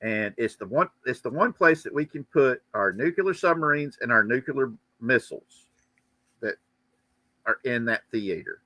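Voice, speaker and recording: Bright-voiced man chatting as livestream host. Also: medium pitch, animated, moderate pace, no echo. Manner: rambling, joking. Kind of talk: casual chat and political commentary.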